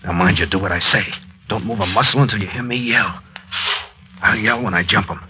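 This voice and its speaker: Forceful, fearless adventure captain. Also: male voice, on the low side, a little fast, poor audio. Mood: hushed, commanding. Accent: American. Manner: terse, bossy, urgent. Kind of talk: 1940s radio drama acting. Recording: vintage 1940s radio recording.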